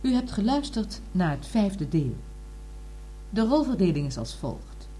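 A woman reading formally as a radio drama announcer, in a measured, deliberate manner. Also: medium pitch, sing-song, dark tone, slight background noise. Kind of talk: formal scripted credits reading.

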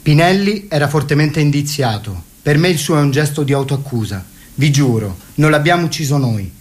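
Medium pitch, mock-official, scripted, satirical theatre delivery, stage narrator. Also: expressive, brisk.

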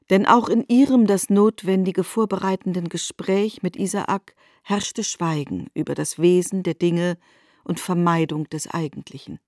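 A woman's voice: medium pitch, darkish tone, no room sound, clean studio sound. A woman reading scripted literary narration as a narrator in a radio drama.

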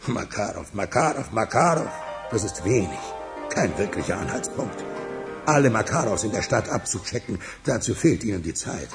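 A low, gravelly man is an agitated city crime boss. He is sardonic and commanding, with dramatic swings in pitch. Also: big pitch swings, normal speed, over music. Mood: brooding, brisk. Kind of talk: German radio crime drama acting.

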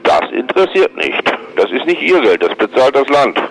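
A man's voice: mid-range voice, big pitch swings, moderate pace, gravelly, faint music behind, poor audio. A man on the phone in an official role, blunt and insistent, repeating himself with exasperation. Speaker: police school employee taking a phone call. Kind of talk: unscripted phone conversation.

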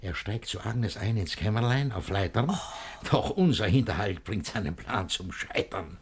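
An actor playing a jealous, scheming middle-aged gentleman in a rhymed-verse radio play, declaiming and swinging between muttering and outbursts. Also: on the low side, lively intonation, normal speed, slight background noise. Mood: scheming, vengeful.

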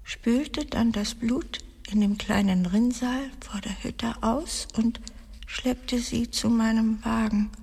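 An actress playing a cold, haunted murderess in a radio play, speaking haltingly and deliberately in a breathy, husky, creaky voice that often sinks near a whisper. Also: female voice, fairly high pitch, darkish tone, exaggerated voice. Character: cold, haunted murderess confessing her killing, named Ramona. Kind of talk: dramatic radio play monologue. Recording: long hall-like reverb.

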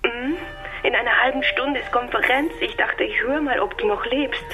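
An actress in a German radio drama playing a brisk, teasing newspaper colleague on a phone call. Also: female voice, fairly high pitch, pushed and bright. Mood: teasing, impatient. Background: music.

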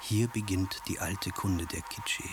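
An actor playing a solemn chronicler who recites an ancient creation myth in hushed, deliberate phrases for a radio sound composition. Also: male voice, sits low, fairly even intonation, background noise. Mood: solemn, reverent.

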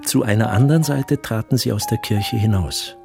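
An actor playing an observant, composed storyteller, narrating a literary radio play in careful, expressive phrases. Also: male voice, on the low side, moderate pace, gravelly, music in the background. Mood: descriptive.

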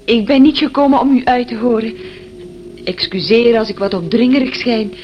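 A woman's voice: fairly high pitch, moderate pace, highs cut off, close to an everyday voice. An actress playing a gushing, curious young woman secretary in a Dutch radio play, with an effusive, forward manner. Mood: gushing, earnest. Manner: effusive, gushing, breaks into laughter. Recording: noisy old radio play recording.

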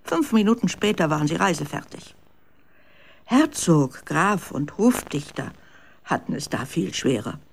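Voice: medium pitch, very animated, measured pace, gravelly, a little hiss or hum. A narrator telling a scripted story for children in a radio drama.

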